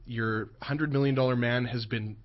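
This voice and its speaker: A man co-hosting a sports radio show, joking around and giving animated hockey opinions. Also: mid-range voice, moderate pace. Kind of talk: casual sports radio talk. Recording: dull lo-fi broadcast with faint music.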